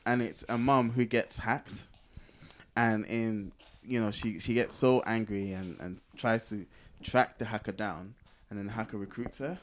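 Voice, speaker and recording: A man's voice: on the low side, fairly even intonation, a little slow. A man co-hosting a podcast, chatting casually as he explains things. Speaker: podcast co-host.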